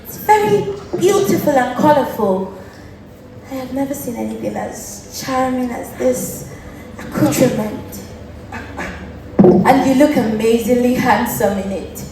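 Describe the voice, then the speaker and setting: A woman playing an imperious, lovestruck noble lady in a stage drama, with dramatic, drawn-out, declamatory delivery. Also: on the high side, expressive, heavy background noise. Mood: enraptured. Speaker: student stage actor. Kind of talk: theatrical stage acting. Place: indoor theatre stage. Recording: echoey theatre from far off, music.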